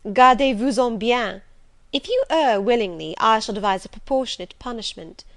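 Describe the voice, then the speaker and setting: A haughty society lady, as a woman reader puts her on, teasing and imperious. She speaks a touch higher and louder in playful commands. The sound is dry. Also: expressive, normal speed, dry recording.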